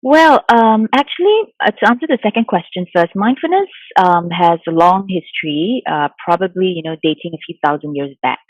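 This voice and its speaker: Mindfulness coach from Singapore, a woman in her forties, soft-spoken and reflective, muffled dry recording. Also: fairly low pitch, expressive, moderate pace, few pauses, dry recording. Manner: soft-spoken, thoughtful, many fillers. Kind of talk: interview answers. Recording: dull lo-fi sound, dry.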